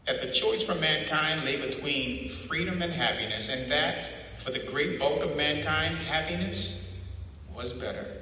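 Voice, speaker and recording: A man narrating a novel as a dramatic reading, theatrical and deliberate in delivery. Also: a little high, bright, forceful tone, a little hiss or hum. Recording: very echoey, long hall reverb.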